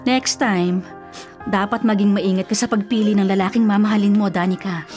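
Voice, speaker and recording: An actress playing a stubborn, superstitious elder sister, insistent and fretful, in a radio drama. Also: female voice, medium pitch, steady tone, normal speed, a little mellow, lightly acted. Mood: scolding concern. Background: faint music.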